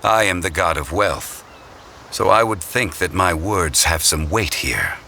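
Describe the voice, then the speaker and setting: An actor playing an ancient god in human guise in a video game, calm and dignified, speaking in a measured, formal, reflective way. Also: male voice, deep voice, gravelly, background noise, full character voice. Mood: calm, assured. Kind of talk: scripted video game dialogue.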